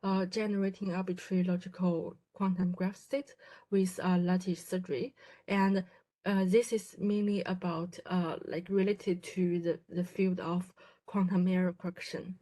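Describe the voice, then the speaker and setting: A woman's voice: on the low side, flat delivery, moderate pace, dark tone. A woman, giving a self-introduction talk as a graduate student, with many fillers and restarts. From China. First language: Chinese. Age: 20s.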